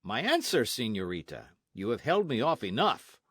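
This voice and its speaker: A man's voice: medium pitch, big pitch swings, moderate pace, fairly bright tone, breathy edge, no room sound, studio quality. A man voicing an arrogant Spanish colonial army captain in a storybook, pompous, sneering and insistent. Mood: domineering. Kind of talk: storybook narration with character voices.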